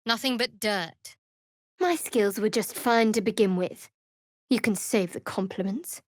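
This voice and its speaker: A woman playing a guarded, sardonic young cleric of a dark goddess in a video game, with a dry, wry delivery. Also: medium pitch, a little slow, dry recording, natural voice. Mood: dry, curious. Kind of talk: dramatic video game voice acting. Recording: very dry close studio recording.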